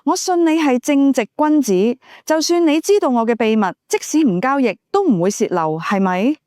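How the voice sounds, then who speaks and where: A woman voicing a poised, seductive, scheming noble widow in an audiobook, with coy, measured, formal speech. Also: high voice, animated, darkish tone, dry recording, studio quality. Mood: confiding.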